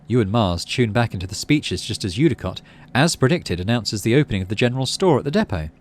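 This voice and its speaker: A man's voice: lowish voice, sing-song, fast. A man hosting a gaming video, reading story text expressively and adding his own casual commentary.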